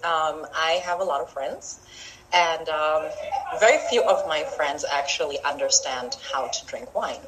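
Chatty woman author, low voice. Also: measured pace, noisy background. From Zimbabwe. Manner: chatty, laughs often, acts out quoted friends in a high voice. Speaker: author guest. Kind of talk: casual interview chat.

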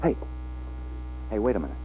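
An actor playing a hard-drinking, flirtatious male film star in a 1940s radio drama, halting and repeating his words. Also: fairly low pitch, big pitch swings, mellow. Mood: tender, halting. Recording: old 1940s radio, tinny with hum.

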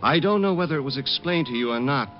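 Guy playing polite crazy bomber, calm cold menace, old muffled radio show w/ music under it. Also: mid-range voice, quick pace, natural voice. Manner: polite, matter-of-fact menace. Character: calm, remorseless escaped bomber, a male madman. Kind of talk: 1940s-50s radio crime drama acting.

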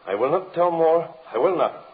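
An actor playing the summoned soul of a sleeping magician, defiant and trance-like in 1940s radio horror style. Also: male voice, fairly high pitch, lively intonation, natural voice. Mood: strained, defiant. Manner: drawn-out, trance-like, halting delivery. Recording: tinny 1940s radio recording.

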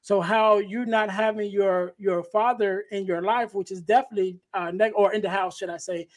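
A man hosting a podcast, with a soft, light voice, reflecting on and affirming his guest's words. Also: high-pitched, fairly even intonation, moderate pace. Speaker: podcast host. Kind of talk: casual podcast interview.

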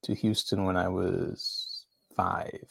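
A man talking as a guest artist in a relaxed chat, pausing often and restarting his sentences. His low voice is husky and gravelly. The recording is dry and close. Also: dry recording. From United States. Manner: hesitant, halting, restarts often. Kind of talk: casual conversation.

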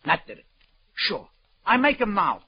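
A streetwise New York auction man, pitched high and constantly swinging up and down. He is slangy and flippant in the manner of a vintage radio crime drama. The recording is dull and lo-fi. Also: wildly swooping pitch, moderate pace, creaky, a little hiss or hum, full character voice. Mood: streetwise, animated. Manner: slangy, flippant, calls people sweetheart.

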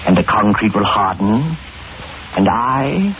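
An actor playing a creepy, gleeful murderer posing as a fussy gentleman in 1940s radio horror, muttering and repeating himself in an oily way. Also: on the low side, sing-song, slow pace, heavily acted. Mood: sinister, musing. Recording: muffled, noisy old radio recording.

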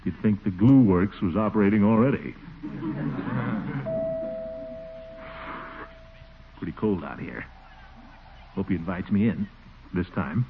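An actor playing a pompous, fussy middle-aged busybody with a blustering manner, in 1940s radio comedy style. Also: male voice, low voice, normal speed, gravelly. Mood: scheming. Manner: blustering, indignant, prone to nervous chuckles. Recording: tinny, noisy 1940s radio recording.

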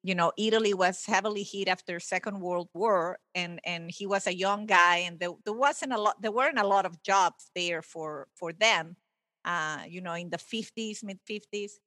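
A woman's voice: fairly low pitch, moderate pace, a bit bright, dry recording. A woman from Venezuela, a company head and podcaster, chatting easily as a podcast guest with frequent laughs and restarts. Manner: friendly, laughs often, many restarts. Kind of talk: casual interview talk.

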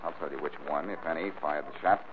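A cool, cocky detective in a 1940s radio mystery. His very low voice is self-assured and wry. It comes through a thin old broadcast recording with faint noise. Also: fast. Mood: cool, probing. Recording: narrow-band noisy old radio recording.

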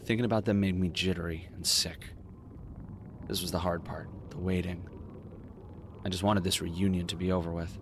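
An actor playing a world-weary, wry detective in a noir audio drama, brooding and sardonic. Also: male voice, low voice, steady tone, moderate pace, long pauses, background noise, lightly acted. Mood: uneasy, reflective. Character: world-weary, wry male detective narrating his story. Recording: faint music behind the voice.

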